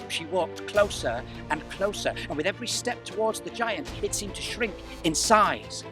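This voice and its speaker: A man narrating a folktale as a storyteller, theatrical and emphatic, with suspenseful pauses. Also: high-pitched.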